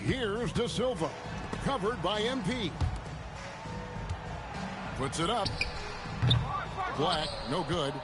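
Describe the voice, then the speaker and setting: An excited male play-by-play announcer with a high voice calls basketball action in a sports video game. Loud music runs behind him, along with bouncing balls and slams. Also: slow pace, pauses often. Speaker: play-by-play commentator. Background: music, bouncing basketball, dunks.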